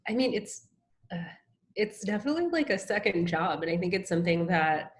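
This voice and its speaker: A woman's voice: fairly low pitch, big pitch swings, brisk. A woman speaking as a guest on a video call interview, casual and loose with frequent ums and small laughs. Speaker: strategist, guest.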